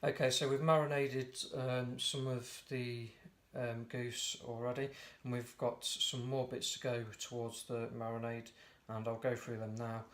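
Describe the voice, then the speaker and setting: A hoarse man casually talking through a recipe, often correcting himself as he goes. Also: medium pitch, measured pace, few pauses. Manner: relaxed, chatty, often corrects himself mid-sentence. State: hoarse, croaky voice. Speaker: home cook presenting a recipe. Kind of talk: casual step-by-step cooking demonstration. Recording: noisy home video recording.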